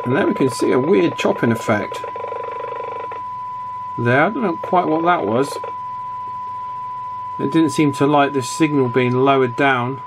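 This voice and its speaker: A man's voice: medium pitch, unhurried, long pauses. A man with a British accent giving casual commentary on a bench test. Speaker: radio reviewer. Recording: loud hum and radio noise.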